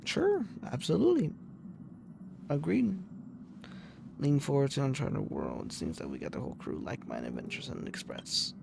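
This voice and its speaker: Creaky-voiced woman streaming a game. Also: extremely low pitch, wildly swooping pitch, moderate pace, long pauses, noisy background. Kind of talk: casual live gameplay commentary, reading choices aloud.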